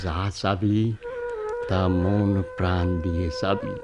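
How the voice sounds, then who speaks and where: A man acting a wise, calm fakir in a Bengali radio drama, preaching mystically to a seeker in a rural western Bangladeshi accent. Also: low voice. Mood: solemn exhorting. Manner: exhorting, measured, mystical preaching. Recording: clear music behind the voice.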